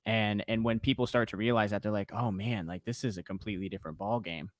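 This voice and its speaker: A man's voice: fairly low pitch, fairly even intonation, fast, dry recording, studio quality. An American man hosting a casual podcast interview, giving advice in a conversational way. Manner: advice-giving, conversational, many "you know"s. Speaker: podcast host.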